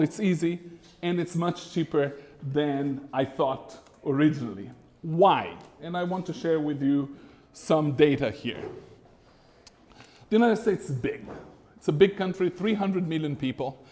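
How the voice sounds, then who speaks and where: A middle-aged man from Brazil giving a masterclass presentation to a live audience in a small room, animated and conversational with frequent fillers. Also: a little high. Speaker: business consultant lecturer. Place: small lecture room with a screen.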